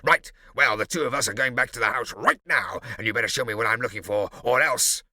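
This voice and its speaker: A man's voice: medium pitch, sing-song, normal speed, sharp tone, dry recording, clean studio sound, pitched above the performer's own voice, heavily acted. A man voicing a burly, menacing red-bearded bully who sneers and roars. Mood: roaring. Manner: sneering, roaring, blustering. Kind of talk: audiobook character voice.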